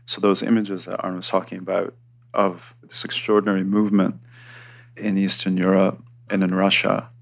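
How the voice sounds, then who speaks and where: A man in his forties with a low voice that stays flat and has a gravelly texture. He speaks as a politics professor on a panel, thoughtful and steady as he recalls the past. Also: moderate pace. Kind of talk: reflective panel talk.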